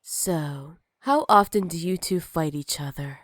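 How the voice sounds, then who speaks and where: A woman's voice: low voice, a little slow, no room sound, clean studio sound. A woman playing a jealous, possessive villain with magic, teasing and menacing in a sultry ASMR roleplay. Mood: possessive, controlled.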